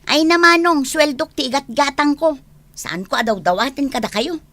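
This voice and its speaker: A woman's voice: high voice, normal speed, a bit bright, dry recording. A woman acting a vain, defiant young phone lover in a radio drama, flippant and pouty with an Ilocano accent.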